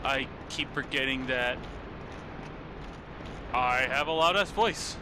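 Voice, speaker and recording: A man's voice: medium pitch, slow pace, background noise. A young man giving loose, rambling commentary while he plays, swearing casually, on a desk mic at home. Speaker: streamer. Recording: game music loud behind voice.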